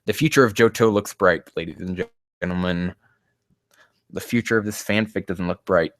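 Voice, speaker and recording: A man with a fairly low voice reads fan fiction aloud and reacts to it sarcastically. He is mocking and sweary, prone to exasperation, and the sound is dry and close. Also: moderate pace, fairly bright tone, no room sound. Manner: profane, mocking, exasperated outbursts. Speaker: host.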